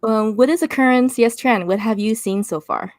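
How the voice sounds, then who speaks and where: A woman's voice: a little high, darkish tone, no echo. Casual woman hosting a live-stream panel. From Canada. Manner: casual, halting with fillers. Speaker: host. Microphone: video call.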